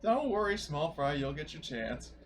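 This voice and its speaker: A man's voice: a little high, big pitch swings, moderate pace, heavy background noise. A man playing a tabletop RPG, chatting casually in a profane, playfully cocky way. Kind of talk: casual gaming chat.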